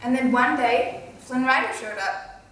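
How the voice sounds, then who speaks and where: A cheerful young storybook princess played by a woman speaks in a high voice with friendly, simple explanations for children. She sounds distant from the mic in a very echoey room, with music behind her. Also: a little slow, pushed and bright. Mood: friendly, upbeat. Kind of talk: scripted children's educational presentation in character.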